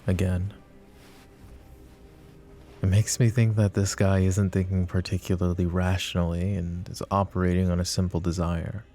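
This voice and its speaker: Man playing a very deep, brooding mafia boss. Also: little pitch movement, normal speed, dark tone, soft background music. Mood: tense, brooding.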